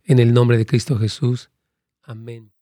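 A male pastor preaching and praying during a live broadcast. He prays in fervent, rhythmic lines with emphatic repetitions, and his pitch climbs as he argues a point. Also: unhurried, no room sound, studio quality. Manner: animated, rising pitch when arguing a point. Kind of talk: live-streamed sermon and prayer with Q&A.

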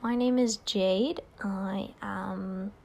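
A twenty-year-old woman introducing herself in an unhurried way, correcting herself as she goes. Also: mid-range voice, steady tone, creaky, darkish tone. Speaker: podcast guest.

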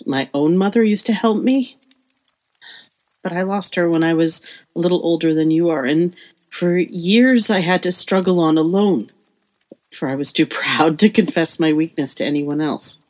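A woman playing a gentle, wise middle-aged mother in an audio play, speaking in a soothing, earnest way. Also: on the low side, moderate pace, close to an everyday voice. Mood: reflective, confiding. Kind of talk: audio play acting with narration.

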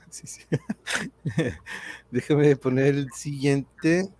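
A man with a Mexican accent hosts a livestream in casual chat. His delivery is jovial and breaks easily into chuckles, with a middling pitch. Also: fairly even intonation, extremely slow, dark tone. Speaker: livestream host.

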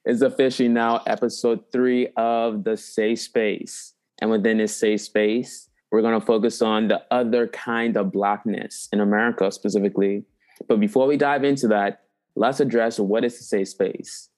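Playful, upbeat young man hosting a podcast. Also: medium pitch. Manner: animated, playful, laughs often, stretches names. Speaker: podcast host. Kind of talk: casual interview podcast.

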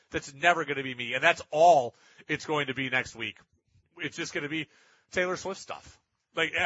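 A man's voice: fairly high pitch, bright, forceful tone, slightly rough, no room sound, lo-fi sound. A man hosting sports talk radio, delivering opinionated monologues in an exasperated, emphatic, repetitive way.

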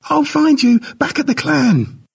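A man's voice: very high voice, expressive, a little slow, creaky, pitched above the performer's own voice, heavily acted. A man voicing a protective, motherly woman of a primitive clan in a storybook narration. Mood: urgent.

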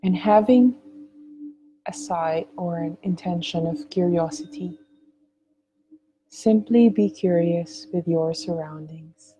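A woman leads a guided meditation in a very soft, dark, relaxed tone. She speaks very slowly and gently, with long pauses, in a slightly low register. Some ambient noise is clearly audible behind her. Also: poor audio.

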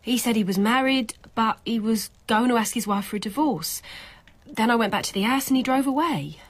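A woman's voice: on the high side, brisk, natural voice. An actress playing a defiant, obsessively lovesick young working-class woman in a British radio drama, blunt, colloquial and insistent.

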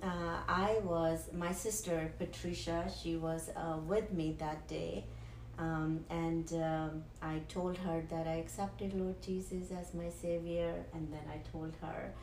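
A woman speaks low and slow, pausing often and restarting her sentences. Her voice carries the echo of a bare room. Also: level tone, a little mellow, a little hiss or hum. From Punjab, India. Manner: halting, with frequent ums and restarts. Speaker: guest. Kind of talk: personal faith testimony. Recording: echoey room.

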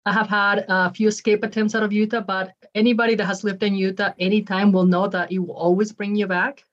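A woman's voice: mid-range voice, flat delivery, a little fast, dull audio. A woman in her forties from Ecuador, a nonprofit leader chatting as a podcast guest, upbeat with frequent ums and restarts. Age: 40s. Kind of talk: podcast interview.

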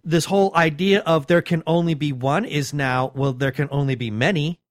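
A man with a slightly high voice chats on a podcast, animated and emphatic, stumbling through restarts as he makes his points. The sound is dry and close to the mic. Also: normal speed, no room sound. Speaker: podcast co-host. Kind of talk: casual chat.

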